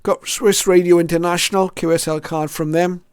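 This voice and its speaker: A mature man presents his hobby collection in a casual, reflective way. His voice sits a little high and stays even in pitch, with a clean, smooth tone. He moves through items one by one, often hesitating as he goes. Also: normal speed, dry recording, studio quality. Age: middle-aged or older. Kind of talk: casual show-and-tell narration.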